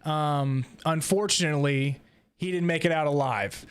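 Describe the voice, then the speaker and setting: A man hosting a podcast, casually recapping and discussing a story in an animated way. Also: a little high, moderate pace, clear, resonant tone. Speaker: podcast host.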